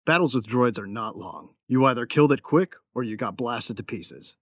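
A man's voice: mid-range voice, lively intonation, moderate pace, noisy background, lightly acted. A man playing a tough young gunfighter and protective big brother in a sci-fi audio drama, matter-of-fact and wry. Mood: matter-of-fact, grim. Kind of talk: first-person narration and dialogue in sci-fi audio drama.

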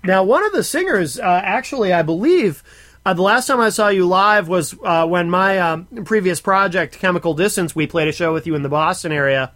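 A man's voice: high voice, lively intonation, brisk, a bit bright. A man hosting a radio show, interviewing a guest in a casual, chatty style.

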